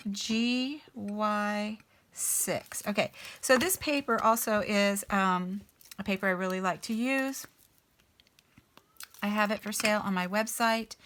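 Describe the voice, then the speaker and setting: A woman hosting a casual coloring session, a little raspy as she gets over an illness, thinking aloud in an unhurried way with many ums. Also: medium pitch, a bit bright, slight background noise. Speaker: artist and channel host. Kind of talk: casual color-along chat.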